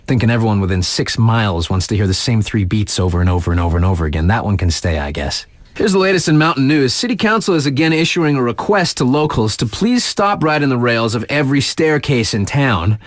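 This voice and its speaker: A man's voice: medium pitch, no room sound, close to an everyday voice. A man playing a laid-back, wisecracking radio DJ in a video game, reading local bulletins in a casual, mock-serious way. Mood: wry, casual. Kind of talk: breezy radio news bulletin, ad-libbed asides.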